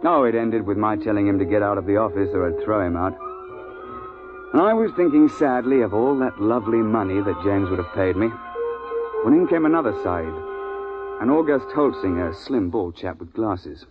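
Actor as deep-voiced safari guide, old radio. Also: male voice, animated, normal speed, lightly acted. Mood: wry recounting. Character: seasoned, firm time-travel safari guide and storyteller. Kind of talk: 1950s radio drama acting and narration. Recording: muffled 1950s radio recording, music.

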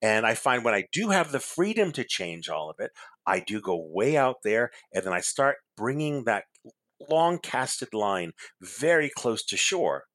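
A middle-aged man from Massachusetts hosts a relaxed interview in a dry, close room, his pitch sitting in an ordinary middle range. He talks reflectively, laughs easily and circles back over his own phrases. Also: a little slow, no room sound. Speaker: host, memoir author. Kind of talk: conversational interview.

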